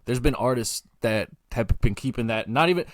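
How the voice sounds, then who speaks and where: A man in his twenties talks as a podcast guest in a mid-range voice with very little room sound. He is casual and halting, often restarting a thought to reword it. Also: medium pitch, expressive, no echo, studio quality. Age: 20s. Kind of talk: casual chat and anecdotes.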